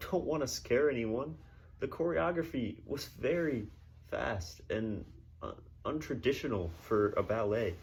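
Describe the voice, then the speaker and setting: A man voicing a distraught, indignant female ballet dancer in a halting, exasperated way for a mock newsreel interview. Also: medium pitch, gravelly, voice pushed up. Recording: noticeable background noise.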